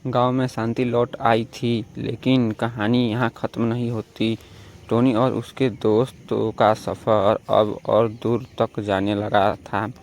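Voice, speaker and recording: A man narrates a story slowly in a very even, flat tone. His voice is mid to lowish, with faint noise and ambience behind it.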